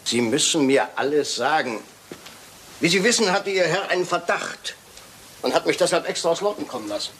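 A shrewd detective from Belgium in a radio crime play. His voice sits fairly high, polite and measured as he probes. The old recording is clearly noisy. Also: animated, fairly bright tone. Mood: calm, probing. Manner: polite, probing, measured questioning. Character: shrewd Belgian male detective, courteous and probing. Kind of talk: German radio crime drama acting.

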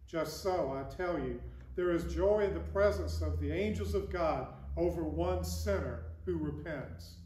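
Older priest preaching in an echoey church. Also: male voice, fairly high pitch, a little slow, warm tone, a little hiss or hum. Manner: conversational, gestures broadly while preaching. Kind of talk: gospel reading and sermon. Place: large wooden church with stained glass, indoors. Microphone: far camera.

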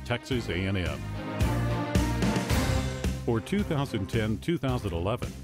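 Male, American accent, scripted newsreel narrator, animated intonation, prominent music bed. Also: fairly low pitch, a little slow.

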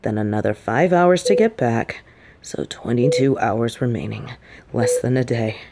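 A woman's voice: extremely low pitch, mellow, slight background noise. A woman playing a stern, fiercely protective secret agent secretly in love, in an intimate, emotional audio roleplay. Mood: cold, scolding anger. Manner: slow, emphatic, emotionally intense delivery.

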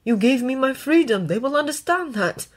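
Woman voicing a quiet, wry ancient male dragon. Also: high voice, animated, pitched above the performer's own voice. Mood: sorrowful, gentle. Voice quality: quiet but firm. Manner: wry, measured, formal. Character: wry, gentle ancient male dragon, weakened yet regal.

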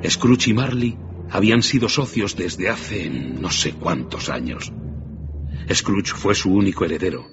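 Narrator for radio drama: male, low-pitched voice, dramatic storytelling delivery, music behind, slightly dull recording. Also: measured pace. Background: clear background music.

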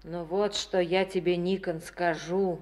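An actress playing a proud, sharp-tongued village woman in a Soviet radio play, speaking in a folksy, teasing manner. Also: on the low side, little pitch movement, measured pace, close to an everyday voice. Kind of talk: 1950s radio play acting.

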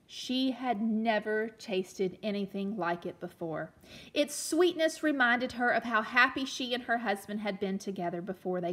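A woman reading a storybook aloud to young children as a teacher, expressively, pausing to explain and ask questions. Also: medium pitch. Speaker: elementary teacher reading a picture book aloud.

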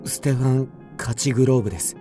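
An actor playing a gentle, weary young officer on the run, polite and measured, in a radio drama. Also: male voice, mid-range voice, natural voice. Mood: polite, resigned. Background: music.

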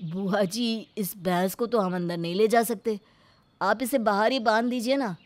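A woman's voice: medium pitch, steady tone, moderate pace, lightly acted. A woman voicing a polite, mild grown son in a cartoon family story. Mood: polite, pleading. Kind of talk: animated family story acting.

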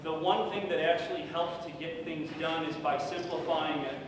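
A middle-aged man with a slightly high voice gives a loose, chatty conference talk full of fillers. He is heard from some distance in a large echoey hall, with clear room noise behind him. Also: steady tone, moderate pace. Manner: loud, chatty, with many fillers. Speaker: conference speaker. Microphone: podium microphone from far off.

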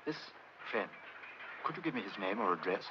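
Male investigator, courteous, quick delivery, medium pitch, hissy vintage film drama recording. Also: sing-song. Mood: polite, urgent. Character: polite, hurried male investigator asking questions. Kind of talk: 1950s film drama acting. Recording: muffled, hissy old film soundtrack.

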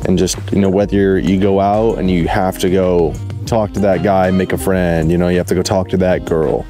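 Young guy pitching his sales crew, laid-back and eager, low mellow voice. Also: flat delivery, moderate pace, soft background music. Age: young adult. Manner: enthusiastic, salesy pitch with filler "you know". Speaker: guest, solar sales team leader. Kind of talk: casual chat. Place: outdoors on grass by a van.

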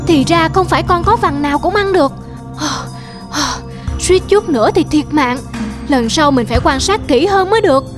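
A young wolf in a cartoon fable, greedy and full of itself. The voice sits high, over very prominent music. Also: female voice, animated, normal speed. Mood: rueful. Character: greedy young wolf boasting and hunting for tasty striped prey. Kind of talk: cartoon voice acting. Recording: loud music under the voice.

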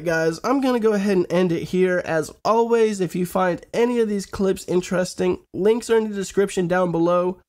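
A man's voice: high-pitched, darkish tone. A man in his thirties, nasal and congested with a head cold, giving casual commentary to camera as a host. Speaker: host of a reaction video show. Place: small room at home, indoors.

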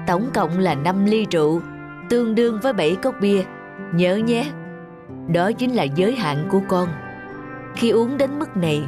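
A woman voicing a middle-aged father in an animated moral tale, patient, protective and earnest. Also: fairly low pitch, natural voice. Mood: earnest, caring.

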